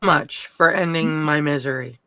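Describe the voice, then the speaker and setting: A close, dry voice of middling pitch. The panelist comments casually in a teasing, dryly humorous way. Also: a little slow, bright, forceful tone, dry recording.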